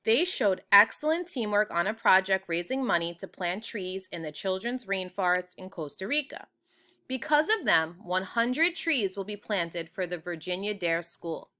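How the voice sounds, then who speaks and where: Woman acting a bright, ceremonious school official. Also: mid-range voice, lively intonation, normal speed. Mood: proud, formal. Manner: ceremonious, emphatic speechmaking. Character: proud, formal female school official giving awards.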